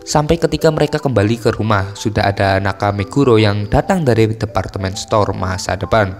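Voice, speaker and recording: A man's voice: fairly low pitch, a little fast, in a large hall. A man with an Indonesian accent narrating a story recap in a casual, scripted style. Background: music.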